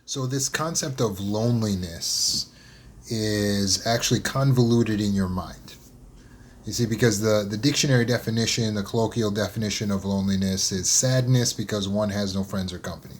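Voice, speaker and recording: A man speaking as a motivational speaker, in an earnest, unscripted solo talk. He repeats words as he thinks aloud, slows down on key phrases and speeds through lists. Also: fairly low pitch, a little hiss or hum.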